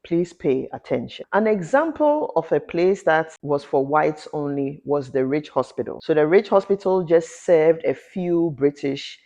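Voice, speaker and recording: A woman's voice: animated, measured pace, few pauses, dark tone, lo-fi sound. A woman from Ghana, a fashion designer, giving an impassioned commentary vlog that often rises into a rant. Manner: impassioned, ranting, rising in pitch when indignant. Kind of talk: talking-head commentary vlog.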